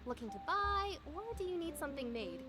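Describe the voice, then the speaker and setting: A talkative female workshop owner in a video game, with a high voice. She is friendly, practical and sure of herself, over loud background music. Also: very animated, a little fast. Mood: friendly, chatty.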